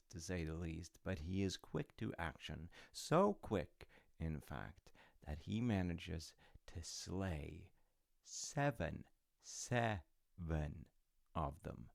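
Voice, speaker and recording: A man playing a gentle, wryly amused dad who tells his kid a folktale in an unhurried, musing, conversational way for an audio roleplay. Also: deep voice, expressive, gravelly, dry recording, clean studio sound, heavily acted. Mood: amused storytelling. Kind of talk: audio roleplay, rambling bedtime-style storytelling.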